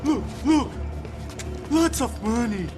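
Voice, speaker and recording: An actor playing a cowardly, desperate doctor, begging in panic in a video game cutscene. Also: male voice, very high-pitched, animated, takes it slowly, exaggerated voice. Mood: desperate pleading. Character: cowardly, desperate, pleading male crooked doctor. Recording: loud music over the dialogue.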